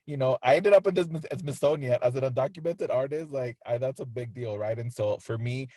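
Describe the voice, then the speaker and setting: A man's voice: medium pitch, brisk, dark tone, dry recording, low-quality recording. A man, an artist speaking on an online panel, talking casually with many fillers.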